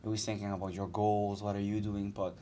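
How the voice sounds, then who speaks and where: A man from Aruba with a low voice. He talks in a thoughtful, soft-spoken way during a casual podcast chat. He often pauses to search for the right words. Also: level tone, a little hiss or hum. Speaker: podcast co-host.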